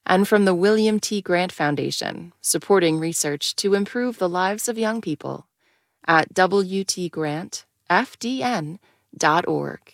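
A woman announcer reading sponsor credits in a measured, even way. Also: lowish voice, vocal fry, dry recording, clean studio sound. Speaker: radio announcer.

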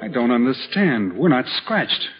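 An actor playing a restless, dreamy young writer type, earnest and reflective, in old-time radio drama style. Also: male voice, mid-range voice, sing-song, unhurried. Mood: earnest, astonished. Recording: dull vintage radio recording with music.

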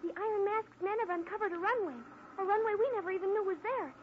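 Very high, breathless young heroine in an old radio serial, earnest, tinny and hissy. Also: female voice, fairly even intonation, fast. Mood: urgent. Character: earnest young woman, eager and anxious. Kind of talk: 1940s radio acting. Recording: thin, tinny old radio broadcast with faint hiss.

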